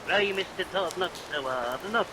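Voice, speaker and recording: An actor playing a pious, greedy, hypocritical parson with florid, sanctimonious delivery in a vintage radio melodrama. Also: male voice, sits high, lively intonation, normal speed. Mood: unctuous, fussy. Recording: noisy vintage radio, rain throughout.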